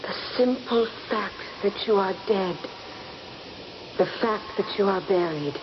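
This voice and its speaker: Woman playing a slow, solemn grieving widow. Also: medium pitch, close to an everyday voice. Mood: mournful, reproachful. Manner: slow, solemn, theatrical, insistent. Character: accusing, sorrowful young widow in a funeral vision. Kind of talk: 1970s radio drama acting. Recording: muffled, noisy old radio broadcast.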